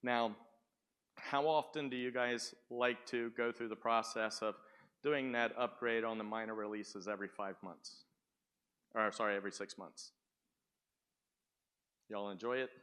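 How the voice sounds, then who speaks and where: A man giving a sales presentation at a tech conference, booming and often asking the audience questions. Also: fairly even intonation, a little slow, pauses often, a bit bright, clear, resonant tone. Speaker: conference speaker. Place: conference room. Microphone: speaking without the microphone at first.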